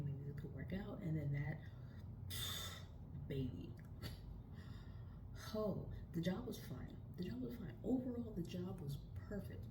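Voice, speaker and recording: A woman in her late thirties from New York, chatting casually in a vlog from her dorm room, rambling and repeating herself, slipping into soft whispery asides. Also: sits low, reverberant, far from the mic, heavy background noise. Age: 30s. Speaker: vlogger.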